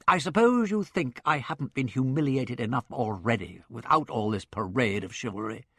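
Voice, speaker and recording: A man's voice: mid-range voice, lively intonation, moderate pace, a bit bright, no room sound, clean studio sound. A man voicing a bitter, proud young woman who speaks in a cutting, resentful way. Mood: scornful.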